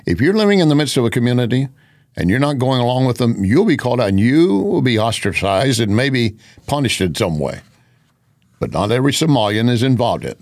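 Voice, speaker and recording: A man's voice: mid-range voice, dry recording, clean studio sound. A man hosting an opinion news show, talking conversationally and posing rhetorical questions as he comments.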